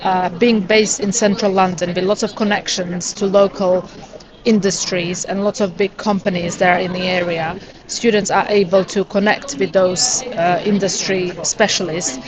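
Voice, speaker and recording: A woman's voice: mid-range voice, normal speed. Woman rep at a noisy expo. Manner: steady, frequent fillers. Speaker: university representative. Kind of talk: answering interview questions. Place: busy education expo hall. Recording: crowd noise behind. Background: crowd chatter.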